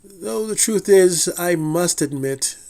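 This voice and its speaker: A man reading a scripted TV review in a measured, deliberate way, with the odd slip and restart. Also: high-pitched, lively intonation, creaky, mellow. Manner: measured, deliberate delivery with occasional slips and restarts. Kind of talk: scripted review read aloud.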